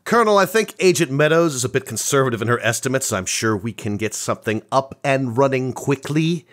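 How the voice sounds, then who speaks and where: Man playing an animated, assured agency director. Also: medium pitch, a little fast, natural voice. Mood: ingratiating. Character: smooth-talking, opportunistic male agency director. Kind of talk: scripted audio drama acting.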